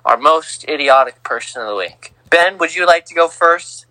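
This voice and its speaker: A young man co-hosting a casual sports podcast, teasing and lively, on a home mic. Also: a little high, moderate pace, no echo, low-quality recording. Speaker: podcast co-host.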